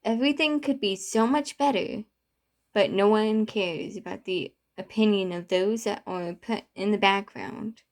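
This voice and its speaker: A woman's voice: medium pitch, unhurried, darkish tone, close to an everyday voice. A woman voicing a bitter, distraught young man in a story, impassioned and protesting, with stumbles. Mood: upset, breaking down.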